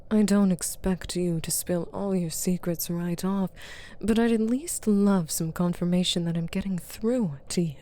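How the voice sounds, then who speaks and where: Woman playing a female demon captor, low mellow voice, authoritative, possessive, taunting, breathy murmurs, ASMR roleplay. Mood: taunting, confident. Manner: authoritative, teasing, drawn-out, often dropping to breathy murmurs. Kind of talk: ASMR roleplay monologue to the listener.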